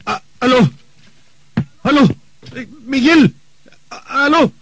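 A man's voice: extremely high pitch, slow, a bit bright, exaggerated voice. An actor playing a stern, anxious older wealthy man in a radio drama, hesitant and given to muttering. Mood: uneasy.

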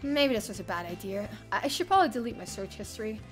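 A young woman streamer reading a visual novel aloud in an expressive, theatrical first-person narration. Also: mid-range voice, fast. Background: soft game music.

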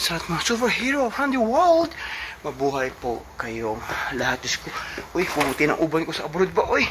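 A middle-aged Filipino man filming himself on a phone at arm's length, chatting cheerfully in a mix of Tagalog and English while walking a city street at night. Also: on the high side. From Philippines. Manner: animated, switches between Tagalog and English, loud cheery greetings. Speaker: vlogger, overseas worker. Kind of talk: casual walking vlog. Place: city street at night, then a convenience store. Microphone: phone held at arm's length. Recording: loud street traffic noise.

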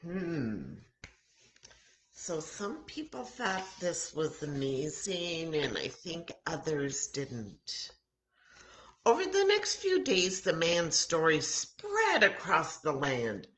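An American woman in her sixties reads a story for young children. Her voice is low and takes its time, swinging widely in pitch as she dramatizes the tale. The delivery is deliberate and expressive, like a story time host acting out each line of dialogue. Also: rough recording. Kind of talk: expressive read-aloud of a children's Bible story. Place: home kitchen, indoors. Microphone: webcam or laptop mic at arm's length.

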